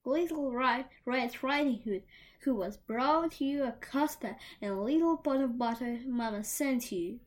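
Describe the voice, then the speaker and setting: A woman's voice: high-pitched, measured pace, pitched above the performer's own voice. A woman voicing an innocent, polite little country girl in a fairy tale, with a sing-song sense of wonder.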